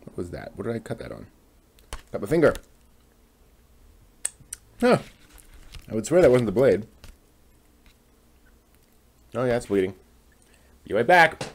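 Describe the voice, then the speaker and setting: A man's voice: medium pitch, very animated, a little slow, creaky, long pauses, breathy edge. A man hosting a casual hobby stream, chatting and talking to himself as he works with his hands. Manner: talks to himself while working. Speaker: host. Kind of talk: casual hobby livestream chat while building a model kit.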